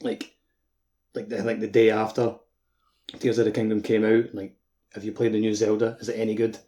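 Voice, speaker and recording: A man's voice: lowish voice, monotone, normal speed, dark tone. A man chatting in an informal, jokey way as a host on a podcast. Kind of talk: casual podcast chat.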